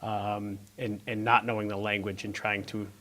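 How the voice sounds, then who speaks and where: A man's voice: lowish voice, little pitch movement, moderate pace, fairly bright tone. A man hosting a local interview show, asking friendly questions and stumbling over the first words of his phrases. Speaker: show host, school staff.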